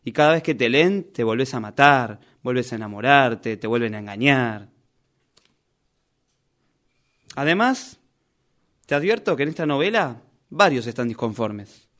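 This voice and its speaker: A man acting a defiant character from a novel in a radio play, with an Argentine Rioplatense accent. He is argumentative and reproachful, and his mid-pitched voice swings animatedly as he presses his case. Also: expressive, normal speed, fairly bright tone, close to an everyday voice. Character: indignant, defiant male novel character confronting his author.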